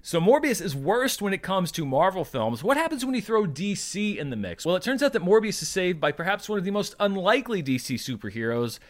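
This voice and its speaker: Higher-pitched man hosting an emphatic recap. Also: very animated, brisk, few pauses. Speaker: podcast host. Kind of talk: box office recap commentary.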